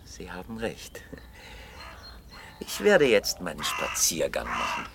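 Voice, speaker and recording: An actor playing a young, wealthy, irritable bachelor in a 1960s radio play, with clipped formal speech and a coolly sinister civility. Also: male voice, sits low, wildly swooping pitch, slow pace, creaky, a bit bright, full character voice. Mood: subdued, wary. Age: young adult. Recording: hissy 1960 radio play recording.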